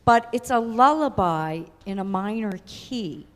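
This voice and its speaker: A woman's voice: mid-range voice, unhurried. A cellist, a woman, gives a short conversational introduction to the piece she is about to play. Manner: relaxed, conversational. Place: church sanctuary. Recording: long hall reverb.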